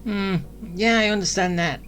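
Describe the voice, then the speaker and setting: A woman's voice: mid-range voice, fairly even intonation, normal speed, fairly bright tone. A woman giving casual, wry commentary while playing a video game, in an offhand way. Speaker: gamer commenting on play. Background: game music.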